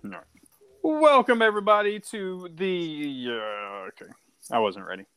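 A man's voice: on the high side, animated, unhurried, pushed and bright, smooth, dry recording. A man co-hosting a podcast in casual chat, joking, teasing and laughing often. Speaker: podcast co-host.

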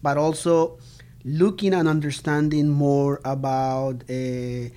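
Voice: medium pitch, flat delivery, dark tone, clear, resonant tone. Encouraging interviewer from Colombia. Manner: encouraging, asks in halting restarts. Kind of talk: casual interview.

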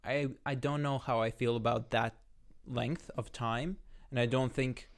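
Latvian guy chatting, mid pitched voice, kinda hesitant, hedges a lot looking for words. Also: fairly even intonation, a little slow. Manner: hesitant, hedging, searching for words. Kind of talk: casual discussion.

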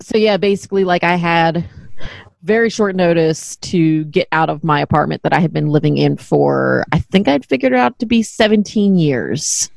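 A woman's voice: on the low side, moderate pace, vocal fry, a little mellow, no room sound. A middle-aged woman hosting a podcast in casual chat, candid and self-deprecating, swearing freely. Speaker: podcast host.

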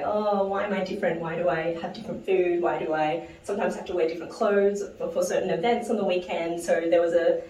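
A woman's voice: on the low side, a little mellow. A woman from New Zealand, a guest on an interview show, who talks reflectively, laughs often and restarts her phrases. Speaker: guest investor. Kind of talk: conversational interview. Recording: echoey room, mic at a distance.

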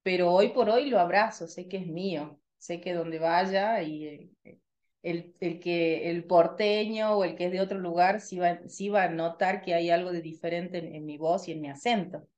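A woman in her thirties from Tucumán with a slightly softened Tucumán Argentine accent, co-hosting a casual radio podcast chat with trained, clear articulation. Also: on the low side, moderate pace, dull audio. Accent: Tucumán Argentine Spanish, slightly softened. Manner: chatty, lively, trained articulation.

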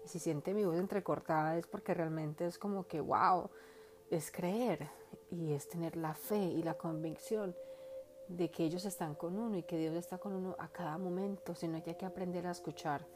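A woman's voice: on the low side, steady tone, normal speed. A woman hosting her own podcast in a casual, earnest and heartfelt monologue, rambling with lots of fillers. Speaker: podcast host.

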